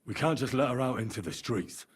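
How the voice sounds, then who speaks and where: An actor playing a calm, inquisitive young medieval man in a video game, with a husky voice and conversational delivery. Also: medium pitch, brisk. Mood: grave, reasoning. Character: level-headed, inquisitive young medieval adventurer. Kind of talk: video game voice acting, dramatic dialogue.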